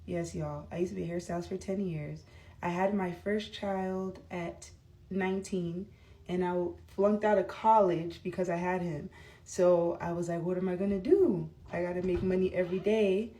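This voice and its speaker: A woman's voice: on the low side, steady tone, normal speed, dark tone, recorded from a distance. A woman in her thirties chatting casually to camera while doing her makeup, rambling with lots of fillers. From United States. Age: 30s. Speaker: vlogger.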